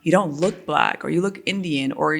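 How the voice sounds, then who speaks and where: A woman's voice: low-pitched, fast, vocal fry, fairly bright tone, slight background noise. A woman talking as a podcast guest in casual interview chat, earnest and reflective, with frequent fillers and restarts. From United States.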